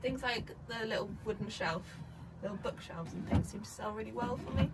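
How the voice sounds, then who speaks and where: Young woman, a bit croaky with a cold, casual chatty vlogger, mid-range voice, noisy home recording. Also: mid-range voice, fairly quick. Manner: chatty, rambling with many fillers. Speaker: vintage reseller vlogger. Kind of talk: casual chat. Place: home indoors. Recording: home recording with clear background noise.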